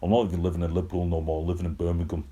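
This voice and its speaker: A man's voice: deep voice, little pitch movement, rapid-fire, warm tone, natural voice. A man playing a mild, hesitant Mancunian podcast co-host, chatting casually. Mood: earnest, defensive. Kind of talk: comic casual chat.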